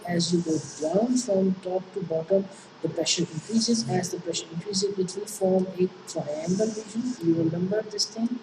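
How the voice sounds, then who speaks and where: A woman with a Pakistani accent lectures on engineering problems, pausing often on fillers and restarting her sentences. She sounds far from the microphone in an echoey room, with clear noise behind her. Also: lowish voice. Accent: Pakistani English. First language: Urdu. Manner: halting, many fillers and restarts. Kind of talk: classroom lecture explaining worked problems. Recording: echoey room, distant mic, noisy.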